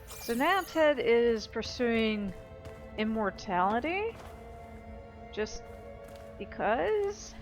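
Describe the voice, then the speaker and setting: A woman's voice: fairly high pitch, big pitch swings, drawn-out words, pauses often, soft background music. A woman giving casual gameplay commentary, chatty and explanatory, often hedging her thoughts.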